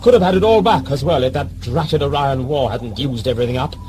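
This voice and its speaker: An actor playing a pompous, blustering old governor in an audio drama, dismissive and full of bluster. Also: male voice, mid-range voice, brisk, dark tone, slight background noise, natural voice. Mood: indignant. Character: blustering, pompous old prison-ship governor nostalgic for empire.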